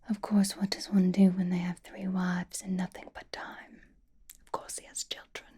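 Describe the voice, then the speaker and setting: A woman's voice: fairly low pitch, monotone, warm tone. Woman playing a whispering, doting lady vampire. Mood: conspiratorial. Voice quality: breathy whisper. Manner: intimate, coaxing, slow and genteel. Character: doting, possessive, elegant female vampire at a gala. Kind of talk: ASMR roleplay.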